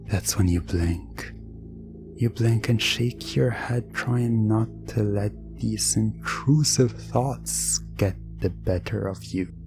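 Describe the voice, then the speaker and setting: A narrator performing a scripted hypnosis roleplay in ASMR style, hushed and drawn-out, often slipping into whispers. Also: mid-range voice, gravelly. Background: music.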